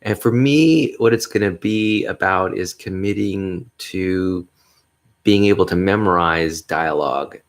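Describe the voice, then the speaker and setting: A man hosting a solo podcast, talking in a mellow bass voice, upbeat and rambling, with frequent chuckles. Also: unhurried. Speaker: podcast host. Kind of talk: casual solo podcast monologue.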